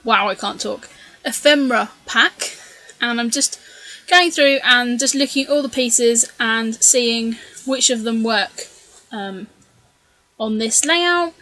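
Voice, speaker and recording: Casting: woman, bright, slightly high voice, chatty rambling craft narration, a bit hoarse. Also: poor audio. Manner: chatty, rambling, many ums and self-corrections. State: slightly losing her voice. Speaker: scrapbooking video creator. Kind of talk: casual voice-over narration of a craft process.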